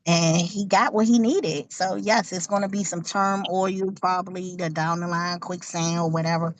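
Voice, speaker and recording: A woman's voice: fairly low pitch, fairly even intonation, normal speed, dry recording. Earnest woman on a dry video call. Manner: earnest, faith-driven, repeats herself for emphasis. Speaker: ministry outreach worker, author. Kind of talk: networking pitch.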